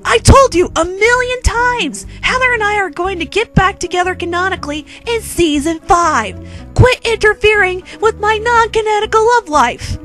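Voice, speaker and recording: A woman's voice: very high voice, normal speed, fairly bright tone, pitched above the performer's own voice. A woman voicing a suave but flustered, exasperated young man in a comedic fan fiction reading. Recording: quirky music behind.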